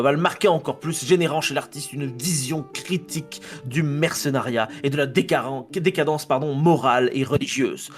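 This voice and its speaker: French man reading history, trips over names. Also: mid-range voice. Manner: reads a text, stumbles on names, jokes and swears at himself. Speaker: podcast co-host presenting a history segment. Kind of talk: casual history podcast narration read from notes.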